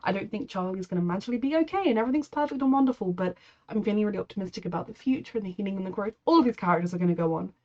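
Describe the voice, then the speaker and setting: A woman's voice: mid-range voice, animated, racing, a little mellow, dull audio. Sniffly woman with a head cold. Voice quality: nasal from a cold. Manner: chatty, empathetic, talks to the characters. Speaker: reaction video host. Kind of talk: casual reaction commentary.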